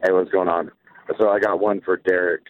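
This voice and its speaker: A man's voice: low-pitched, little pitch movement, fairly quick. A man calling in to a radio show and chatting casually. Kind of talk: casual chat. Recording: phone call with faint noise, clicks.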